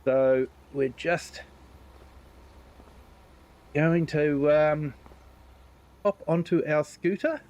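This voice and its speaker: Laid-back New Zealand man, slow talker. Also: on the high side. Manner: relaxed, chatty, reads out viewer comments. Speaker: game streamer. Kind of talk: casual live gameplay commentary.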